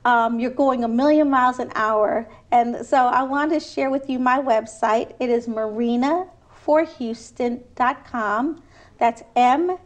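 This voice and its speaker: High-voiced woman pitching her campaign. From Trinidad. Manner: earnest, persuasive, repeats phrases and restarts. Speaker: city council candidate. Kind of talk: campaign interview answers.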